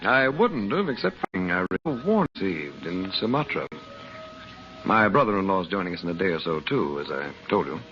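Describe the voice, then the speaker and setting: An actor playing a grave, guarded magician-adventurer in a 1940s radio drama. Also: male voice, low-pitched, very animated, normal speed, a bit bright, soft background music. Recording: muffled old radio broadcast.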